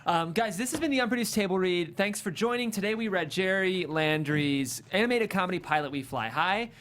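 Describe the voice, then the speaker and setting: A man's voice: sits high, expressive, fairly quick, a bit bright, a little hiss or hum. A man hosting a casual talk podcast, speaking in a complimentary, encouraging way. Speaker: host, writer.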